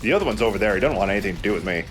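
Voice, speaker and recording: A man's voice: fairly low pitch, lively intonation, very fast, background noise. A man hosting a let's play, chatting casually and explaining the game with dry sarcasm. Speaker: let's play host and player. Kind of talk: casual gaming commentary. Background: video game ambience.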